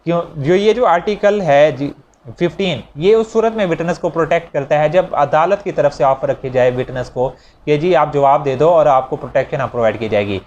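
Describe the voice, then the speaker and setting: A man's voice: a little high, a little fast, a little mellow, lo-fi sound. A man with a Pakistani accent giving an explanatory law lecture in a conversational, repetitive way, mixing in English legal terms. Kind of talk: explanatory lecture with examples, alternating with reading statute text aloud. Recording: noisy recording with background ambience.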